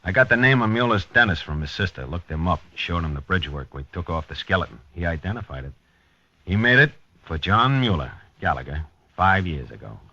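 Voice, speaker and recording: An American actor playing a dogged, weary police lieutenant in a 1950s radio drama, with a clipped, hard-boiled delivery. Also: male voice, sits low, sing-song, a little fast, sharp tone, breathy edge, heavily acted. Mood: keen, wry. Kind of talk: 1950s radio drama acting and first-person narration. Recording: dull vintage 1950s radio recording.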